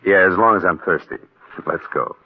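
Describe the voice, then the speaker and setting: Deep-voiced suave husband, old radio drama. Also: male voice, moderate pace, very noisy. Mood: attentive. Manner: easygoing, attentive, suave. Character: smooth, agreeable young ski-instructor husband, secretly scheming. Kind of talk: 1940s radio drama acting. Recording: muffled phone-like vintage radio.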